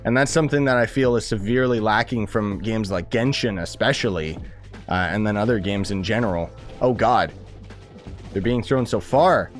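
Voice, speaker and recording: A man's voice: on the low side, normal speed, faint music behind. A man live streaming a game with casual, rambling, slangy commentary, his voice a bit worn from coughing. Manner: informal, rambling, gamer slang. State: losing voice, coughing.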